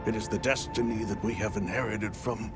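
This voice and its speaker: An actor playing a solemn older guardian elder in a video game cutscene, speaking in a measured, formal manner. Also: male voice, lowish voice, fairly even intonation, loud music behind the voice, natural voice. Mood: grave, solemn.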